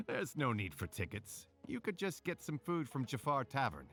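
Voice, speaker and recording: An actor voicing an earnest, apologetic stage performer in a video game, friendly and self-deprecating. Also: male voice, mid-range voice, sing-song, unhurried, a bit bright, faint music behind. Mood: modest, friendly. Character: earnest, apologetic, struggling theater actor man, modest and friendly.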